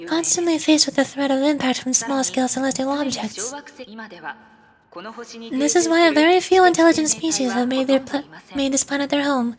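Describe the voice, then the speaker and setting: A woman VTuber on a casual game stream, reading story text aloud a little haltingly. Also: high voice.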